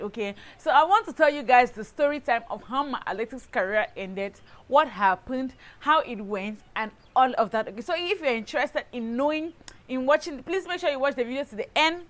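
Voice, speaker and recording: A woman with a Cameroonian accent telling a personal story in a casual vlog style, animated and emphatic, often checking in with the listener. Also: a little high. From Cameroon, southwest region. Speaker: lifestyle YouTuber, host.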